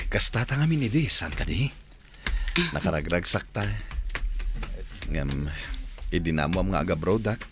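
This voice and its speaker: A Filipino actor playing an earnest, possessive suitor in love in a radio drama, with music behind him. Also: male voice, low-pitched, expressive, normal speed, long pauses, background noise. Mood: wistful, sentimental. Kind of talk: Ilocano radio drama acting.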